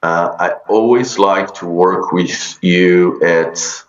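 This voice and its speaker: Deep-voiced israeli guy, slow, lots of uhs, dull muffled echoey recording. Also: steady tone. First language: Hebrew. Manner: halting, many fillers and restarts. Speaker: guest, energy healer. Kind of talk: podcast interview. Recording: dull lo-fi audio in an echoey room.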